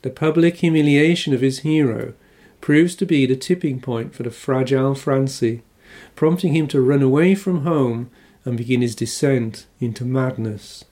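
Measured older English man reading an essay. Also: mid-range voice, dark tone. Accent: English, with a faint Irish R. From Wales. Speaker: novelist.

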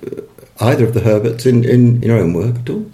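Man, interviewer, fast talker, mellow voice, chatty, murmurs agreement. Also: medium pitch, lively intonation. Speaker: interviewer, poet. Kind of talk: conversational interview.

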